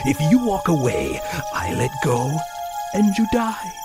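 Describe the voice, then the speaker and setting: Sinister ageless carnival showman, insinuating and grandiose, big pitch swings, loud music underneath. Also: wildly swooping pitch, a little slow, heavily acted. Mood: menacing. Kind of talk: audio drama acting.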